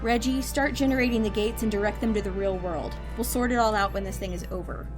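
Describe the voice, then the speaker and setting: A woman's voice: medium pitch, fairly even intonation, fast talker, over music, close to an everyday voice. A woman playing a decisive agency director in an audio drama, speaking in a businesslike, authoritative way. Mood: exasperated, decisive.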